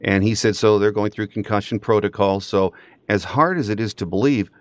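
A man's voice: lowish voice, fairly quick, dry recording. A man hosting a sports podcast, setting up questions with football news and figures in a conversational interview style.

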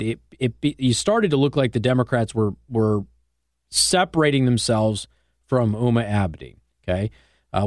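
An American man delivers a talk radio monologue with very lively, swinging intonation. His manner is conversational and sardonic, and the sound is dry and close on a studio mic. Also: mid-range voice, no room sound. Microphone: close studio microphone.

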